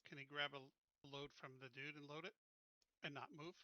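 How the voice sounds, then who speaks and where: Bright-voiced man playing a tabletop game. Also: a little high, fairly even intonation, fairly quick, no echo, low-quality recording. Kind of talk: casual game chat.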